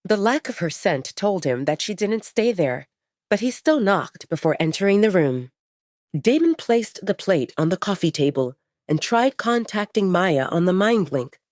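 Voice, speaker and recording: A woman's voice: on the low side, lively intonation, normal speed, a little mellow, no room sound, studio quality. A woman reading scripted dramatic fiction as the narrator of an audio story.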